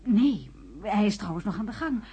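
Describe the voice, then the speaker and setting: Sneaky confidante lady in radio play, mellow and super husky, nosy, confiding. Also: mid-range voice, animated, normal speed. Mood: probing, cautious. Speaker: actress in a radio drama. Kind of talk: conversational radio play acting.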